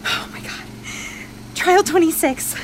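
A young woman playing a bossy, excitable teenage amateur scientist in an audio drama, sarcastic and mock-formal. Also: extremely high pitch, slow, slight background noise, low-quality recording. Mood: excited teasing. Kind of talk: naturalistic audio drama acting.

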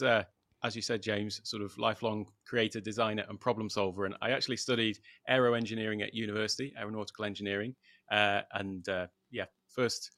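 A middle-aged man with a British accent speaks as an interview guest. His voice is on the low side. He talks about himself in an informal, conversational way. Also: fairly bright tone, no echo, clean studio sound. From United Kingdom. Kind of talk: casual conversational self-introduction.

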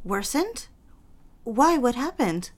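An anxious young secretary about to be married, played by a woman for an audio drama. Her voice sits a little high, and she talks in an apologetic, unsettled way. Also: big pitch swings, takes it slowly.

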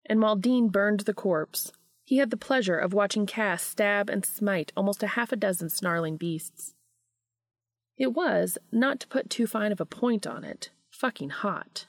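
A woman hosting a podcast and reading fan fiction aloud in an expressive audiobook style. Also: medium pitch, measured pace, no room sound.

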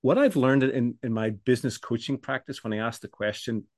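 Middle-aged male coach as podcast guest, steady mid pitch, dry close sound, measured explaining. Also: no echo. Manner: measured, explanatory, with frequent restarts. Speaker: business coach for law firms.

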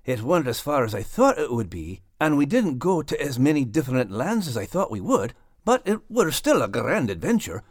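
Lively Scottish cartoon dog. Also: male voice, mid-range voice, moderate pace, few pauses, dry recording, clean studio sound. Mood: reflective. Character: brave, adventurous male dog with a hearty Scottish brogue.

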